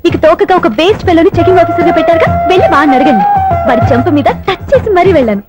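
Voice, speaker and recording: A woman's voice: very high-pitched, sing-song, racing, over loud music. A woman playing a teasing, cheeky young woman in an Indian film comedy. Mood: taunting. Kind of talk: Telugu film comedy acting.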